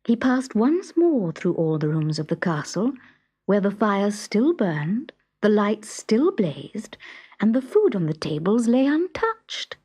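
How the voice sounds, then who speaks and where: A woman narrating a children's story from a script in a measured, expressive storytelling manner. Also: medium pitch, husky.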